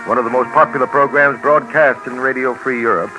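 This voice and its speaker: A man narrating a 1950s radio documentary, speaking in a measured way straight to the listener. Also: mid-range voice, steady tone, lightly acted, poor audio. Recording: old radio recording with music behind.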